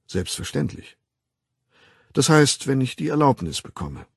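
A man voicing a squeamish, scheming civilised gentleman in an audiobook, polite, hesitant and puzzled. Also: medium pitch, big pitch swings, measured pace, creaky, husky, no echo, studio quality, pitched above the performer's own voice, full character voice. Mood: coaxing, hesitant.

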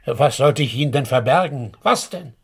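An actor playing an anxious, evasive householder in a radio play, agitated and hesitant, with a husky voice. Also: male voice, mid-range voice, expressive, moderate pace, roomy sound, lightly acted. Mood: defensive. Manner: agitated, hesitant, stammering repetitions. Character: anxious, evasive male householder guarding his fortune. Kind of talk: German radio play acting.

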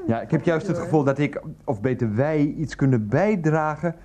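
A man's voice: fairly high pitch, normal speed, mellow, lightly acted. An actor playing an earnest, pompous household man in a radio play, wordy, hesitant and eager to please.

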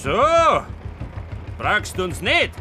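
Grudging young Bavarian farmer's son. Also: male voice, sits high, very animated, takes it slowly, pushed and bright, a little hiss or hum, full character voice. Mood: bitter, reproachful. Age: young adult. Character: reluctant, reproachful grown son of a farmer. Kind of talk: naturalistic German radio play acting.

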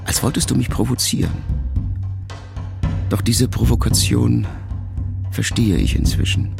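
An actor playing a middle-aged writer son who addresses his mother in a measured, introspective, quietly reproachful radio monologue. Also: sits low, vocal fry. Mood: restrained reproach. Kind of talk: literary monologue in a radio play.

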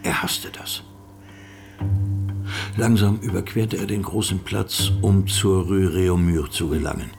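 An actor narrating a sombre crime story in a scripted literary style for a radio play. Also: male voice, low-pitched, fairly even intonation, unhurried, vocal fry, long pauses, a little mellow, soft background music. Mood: tense, sombre.

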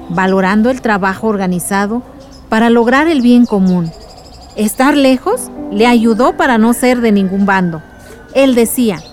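A woman playing a warm, reflective village aunt who recounts the past in poetic phrases in a radio drama. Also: medium pitch, natural voice.

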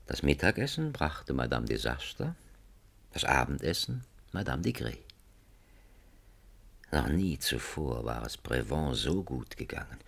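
An extremely low-pitched male narrator with a gravelly edge. He tells a satirical radio-play tale at a slow, measured pace, dry and wry. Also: sing-song, a bit bright, heavily acted. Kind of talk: scripted narration in radio drama.

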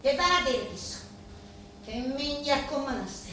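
An actress playing a bitter, scheming woman of a mafia family in a stage drama, with a Sicilian accent and a deliberate, theatrical delivery that swells suddenly. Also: sits high, animated. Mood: furious, commanding. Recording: very echoey room, faint background noise.

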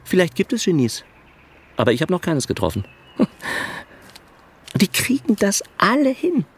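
A man acting in a German radio drama as a polite, fussy, aggrieved arts administrator, halting but expressive. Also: high voice, breathy edge, exaggerated voice. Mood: bitter, musing.

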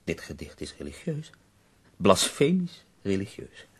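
An actor playing an ailing, intense middle-aged poet in a radio play, husky and gravelly, speaking with theatrical emphasis. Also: male voice, low voice, wildly swooping pitch, unhurried, exaggerated voice. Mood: insistent. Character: ailing, intense male German poet dictating to a woman.

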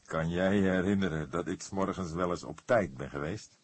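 An old police detective from an audiobook, played by a male reader. He is easygoing, courteous and dry, with a deep, slightly rough voice. He takes his time. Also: no room sound. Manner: unhurried, dry, boyishly amused.

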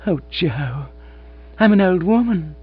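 A man voicing an aging former stage actress, a reserved grande dame who turns gushing and nostalgic, with a husky voice on a dull, humming recording. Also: lowish voice, animated, takes it slowly, voice pushed up, full character voice. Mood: tender. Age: older. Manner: quiet, emotional, gushing with nostalgia. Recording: dull lo-fi recording with mains hum.

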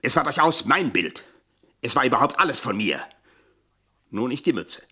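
Radio play: a scheming, ailing former officer, formal and pompous, with a rough, husky voice at middle pitch. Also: male voice, sing-song, moderate pace, sharp tone, exaggerated voice. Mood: bitter, threatening. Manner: stilted, formal, flowery speech. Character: sickly, scheming retired officer, pompous and wheedling for money. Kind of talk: German radio play acting.

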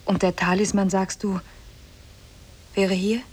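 An actress playing a captive wife who slyly feigns love, speaking in a tender, breathy, theatrical way in a 1950s German radio drama. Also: female voice, mid-range voice, level tone, takes it slowly. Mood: seductive, scheming. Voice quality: breathy, very husky, often whispering. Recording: old 1950s radio play on cassette.

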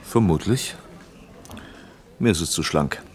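A man acting in a German radio drama as an earnest, firm factory director, speaking in a measured, reasoning manner. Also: deep voice, darkish tone. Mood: matter-of-fact.

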